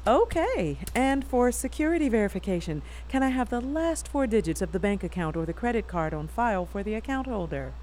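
A woman's voice: on the high side, lively intonation, a little fast, few pauses, a little mellow, a little hiss or hum, close to an everyday voice. A woman playing a harried young customer support worker in a naturalistic audio drama, courteous over frayed patience. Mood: forced politeness. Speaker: actor in an audio fiction podcast.

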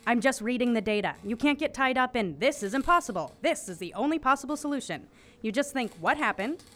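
A woman's voice: fairly high pitch, animated, a little fast, a bit bright. A woman playing a bookish, curious teenage investigator in an audio drama, precise, wry and matter-of-fact. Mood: analytical. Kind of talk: naturalistic audio drama acting.

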